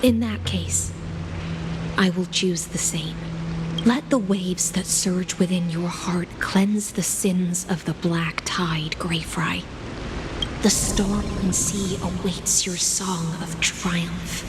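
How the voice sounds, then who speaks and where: A ceremonial priestess-like woman; low, distant, noisy. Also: fairly even intonation, takes it slowly. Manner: hushed, ceremonial. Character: solemn woman giving a ritual blessing. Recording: distant, with loud noise behind.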